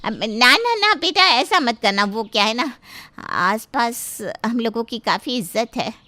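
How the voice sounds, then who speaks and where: A woman acts out a callous middle-aged mother in an audio story. The voice is high and bright with dry sound, smug and self-serving in manner. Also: animated, unhurried, no room sound. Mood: nervous pleading. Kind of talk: dramatized Hindi moral story dialogue.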